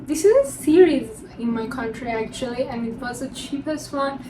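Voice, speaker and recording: A woman's voice: sits high, lively intonation, normal speed. A young woman whose first language is not English, chatting upbeat in a casual vlog as she presents her books one by one. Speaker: book vlogger. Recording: distant mic with construction noise. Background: construction noise from a neighbor.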